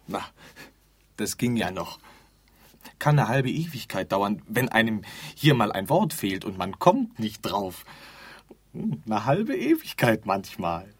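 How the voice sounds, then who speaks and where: An actor playing an offhand, forgetful keeper of an empty afterlife in a radio drama, rambling and groping for words. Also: male voice, fairly low pitch, sing-song, takes it slowly, husky, exaggerated voice. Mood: groping, resigned. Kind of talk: theatrical radio drama dialogue.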